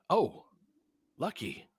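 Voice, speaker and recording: A man's voice: fairly bright tone, dry recording, studio quality. A man hosting a casual live sports commentary, talking in an emphatic, conversational way. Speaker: sports show host.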